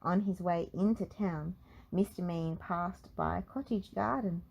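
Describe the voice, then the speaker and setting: A woman narrating a children's storybook in an expressive read-aloud style. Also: fairly low pitch, a little slow, vocal fry, breathy edge, muffled recording.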